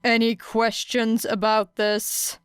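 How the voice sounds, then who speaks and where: A woman voicing a stern, weary male teacher in an audiobook, with a curt, deadpan manner. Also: on the high side, slow, clean tone, dry recording, studio quality. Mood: dry, curt.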